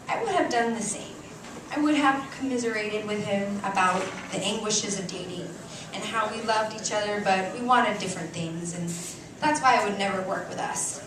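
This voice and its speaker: A woman performing a short story at a live reading in a cafe, with an expressive, deliberate, theatrical delivery and dramatic pauses. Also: mid-range voice. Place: indoor cafe stage before an audience. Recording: echoey live venue, distant mic, room noise.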